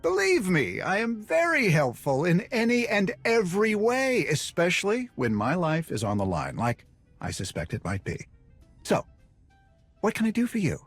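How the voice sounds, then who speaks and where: A man's voice: sits high, wildly swooping pitch, normal speed, slightly rough, no room sound, soft background music, heavily acted. An actor playing a shifty, ingratiating underworld fixer in a video game, talking like a salesman desperate to please. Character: shifty, eager-to-please male underworld fixer and low politician.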